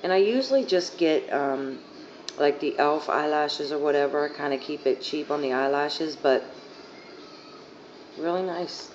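A young woman with a hoarse, fading voice rambling casually through a makeup haul, full of fillers, filmed on a phone on her living room couch. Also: sits low, measured pace. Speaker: beauty vlogger. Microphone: phone camera at arm's length. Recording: dull lo-fi phone audio, faint hiss.

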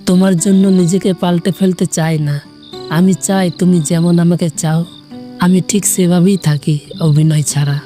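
A sincere, wounded young man in love, played by a voice actor, with a mellow, soft voice. He speaks with restraint in a romantic audio drama, over faint music. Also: high-pitched, fairly even intonation, moderate pace, close to an everyday voice. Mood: tender. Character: Abhro, quiet, sincere, wounded young male lover. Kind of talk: romantic audio story acting.

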